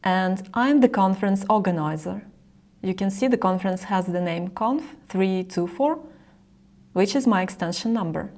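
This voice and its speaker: Woman doing a how-to screen recording voiceover, medium pitch, explains stuff step by step to the viewer. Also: moderate pace, a little mellow. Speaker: software tutorial presenter. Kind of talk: instructional screen-recording narration.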